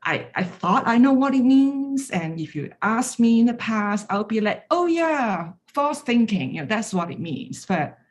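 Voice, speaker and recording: A woman's voice: fairly high pitch, sing-song, unhurried, hardly any pauses. Woman sharing a personal story. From Hong Kong. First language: Chinese. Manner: candid, storytelling, light self-deprecating humor. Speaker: graduating student speaker. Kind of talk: personal reflection talk.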